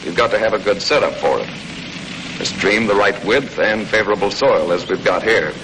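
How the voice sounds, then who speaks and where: A man's voice: lowish voice, normal speed. An American man giving scripted, matter-of-fact instruction with folksy touches, in the style of a 1940s military training film narrator. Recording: noisy old 1940s film soundtrack.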